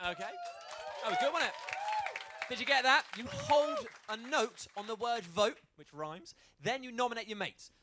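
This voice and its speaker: A man's voice: extremely high pitch, very animated, normal speed, noisy background. A man hosting a live launch event, upbeat and rallying a crowd of people to join in. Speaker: host. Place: indoor event space with live audience.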